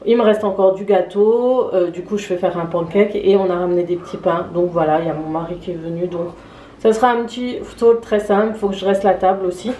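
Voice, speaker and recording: A woman's voice: medium pitch, fairly even intonation, a little fast, mellow, echoey room. A tired woman chatting casually in her home kitchen, hesitant, with many fillers. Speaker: vlogger. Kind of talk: casual vlog chat.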